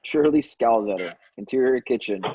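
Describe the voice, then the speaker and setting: A man narrates a screenplay, reading out its scene headings and actions. His voice is dark and mellow at an average pitch. The recording is dry and somewhat muffled. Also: lively intonation, moderate pace, breathy edge, no echo. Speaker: stage-direction reader. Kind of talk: scripted narration, screenplay read aloud. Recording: dull lo-fi audio.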